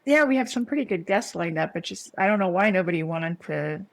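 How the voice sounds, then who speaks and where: A woman's voice: fairly low pitch, animated, a little fast, vocal fry. A woman co-hosting a podcast in casual, chatty and enthusiastic conversation. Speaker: podcast co-host. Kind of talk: casual chat.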